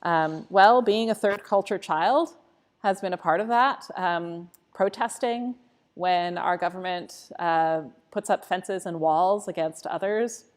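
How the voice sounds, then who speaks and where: Reflective woman from Costa Rica, slightly low voice, earnest answers in a live church Q&A. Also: slow pace. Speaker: church staff member. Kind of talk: live unscripted Q&A conversation.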